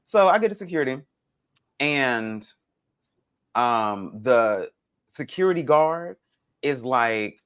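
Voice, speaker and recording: Animated man telling stories on a podcast. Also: mid-range voice, slow, long pauses, smooth. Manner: animated, dramatic retelling with acted-out quotes. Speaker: podcast co-host. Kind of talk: casual conversational storytelling.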